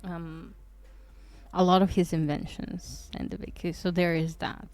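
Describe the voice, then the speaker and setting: A woman's voice: on the low side, fairly even intonation, measured pace, a little mellow, soft background music. A woman from Croatia, chatting casually on a livestream, hesitant and rambling with lots of fillers and restarts. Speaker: streamer.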